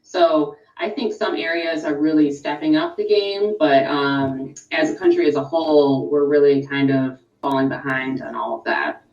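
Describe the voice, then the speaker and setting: A woman's voice: low-pitched, normal speed, hardly any pauses, darkish tone, reverberant, far from the mic, lo-fi sound. A woman guest in a radio interview, explaining things informatively with frequent fillers. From California. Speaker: interview guest, sustainability expert.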